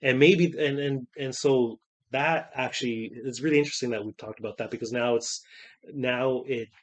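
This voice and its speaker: A man co-hosts a relaxed podcast conversation. His speech comes in halting stretches, often restarting a sentence before finishing the thought. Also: mid-range voice. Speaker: podcast co-host. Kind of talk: casual discussion.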